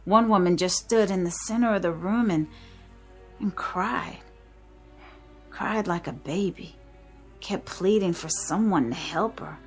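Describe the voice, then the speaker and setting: An actress playing a weary but steady young woman, a painter, in a 1960s television drama. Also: lowish voice, unhurried, long pauses, natural voice. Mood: subdued, grim. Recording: vintage TV soundtrack with music behind.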